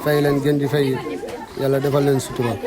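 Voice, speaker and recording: A man representing a Quranic school, giving formal prayers and thanks in a steady, rapid recitation. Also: mid-range voice. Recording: loud crowd behind.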